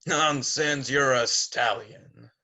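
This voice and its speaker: A man plays a larger-than-life American film producer. He is chummy and breezy, talking in buddy slang at a middling pitch. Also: slow pace, pushed and bright, heavily acted. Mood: jovial. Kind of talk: amateur script table read.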